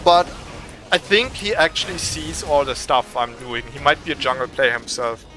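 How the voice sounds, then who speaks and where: Excitable German man with a bright voice. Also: mid-range voice, moderate pace, soft background music. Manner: excitable, swearing, trash-talking. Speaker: gaming streamer. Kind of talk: casual gameplay commentary.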